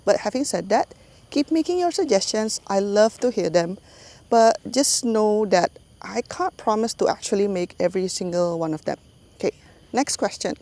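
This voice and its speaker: Chatty Singaporean woman with a mellow voice. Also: mid-range voice, expressive, moderate pace. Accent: Singaporean English. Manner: chatty, laughs often mid-sentence. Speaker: YouTube channel host. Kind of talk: casual voiceover chat to viewers.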